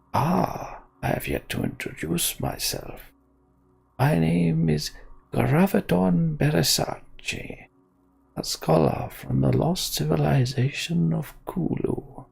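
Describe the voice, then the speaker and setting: A man voicing a solemn old scholar creature from a fantasy game, speaking in slow, archaic, formal phrases. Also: on the high side, vocal fry, darkish tone, slightly rough, pitched above the performer's own voice, exaggerated voice. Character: courtly old male Tonberry scholar, solemn ghostly creature. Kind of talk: voiced role-play of game dialogue.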